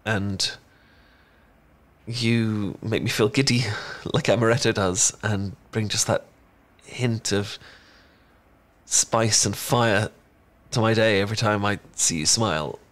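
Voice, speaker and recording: A man's voice: fairly low pitch, takes it slowly, fairly bright tone. A man with a British accent playing an adorkable, shy young chocolatier in an intimate ASMR romance roleplay, stammering and rambling awkwardly. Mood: tender, nervous. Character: adorkable, bashful young male chocolatier confessing a crush.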